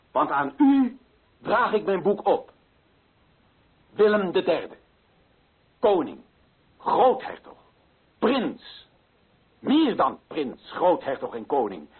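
An actor playing an impassioned, indignant author declaiming against colonial injustice in a radio play, his voice husky and his delivery emphatic. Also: on the high side, full character voice. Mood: solemn appeal. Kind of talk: dramatic radio play monologue. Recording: tinny, phone-like narrow-band sound.

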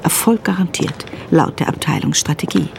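A woman giving a measured, scripted narration in a radio drama. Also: low voice, vocal fry, noisy background.

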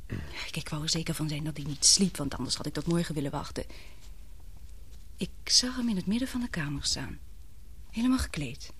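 An actress playing an anxious but brave, tender young woman in a radio drama, with breathy, emotional, hesitant delivery. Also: low voice, expressive, a little fast, fairly bright tone, full character voice. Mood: tense, confiding.

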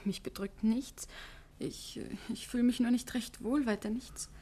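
An actress playing a nervous, evasive young woman in a 1950s German radio drama, hesitant and defensive, sometimes dropping to a breathy whisper. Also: fairly high pitch, steady tone, a little slow, warm tone. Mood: troubled, evasive.

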